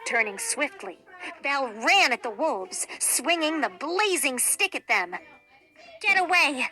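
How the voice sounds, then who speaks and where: A woman reads a children's storybook with a high, bright voice. Her delivery is expressive and dramatic, taking its time over each phrase. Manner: expressive, deliberate. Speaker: storybook reader.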